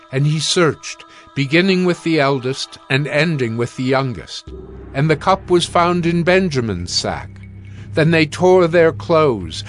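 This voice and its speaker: A man narrating a dramatized scripture reading in a steady, measured way. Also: fairly high pitch.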